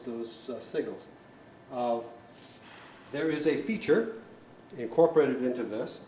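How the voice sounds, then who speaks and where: A man's voice: mid-range voice, a little mellow. A man giving a hands-on equipment tutorial as a technician, explaining things in a methodical, plain-spoken way. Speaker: technician instructor. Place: large hall. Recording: roomy echo, distant mic, faint noise.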